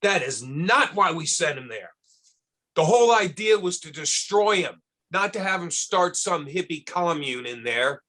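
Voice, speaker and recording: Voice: mid-range voice, animated, measured pace, a bit bright. An actor playing a ruthless, scheming boss in a screenplay table read, blunt and commanding. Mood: irritated.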